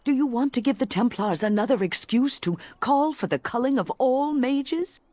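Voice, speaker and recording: An actress playing an older, genteel female mage in a fantasy game, speaking formally and with measured kindness. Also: sits high, animated, darkish tone, dry recording. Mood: stern warning. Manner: formal, measured, kindly diction. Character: elderly genteel female mage, wise and cautious. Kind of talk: fantasy game dialogue acting.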